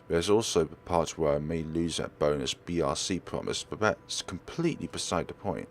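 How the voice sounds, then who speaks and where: A man's voice: rumbling low, normal speed, a little hiss or hum, voice pushed down. A man voicing a stubborn, plucky young female real estate agent in a visual novel, eagerly brushing off concern. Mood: insistent.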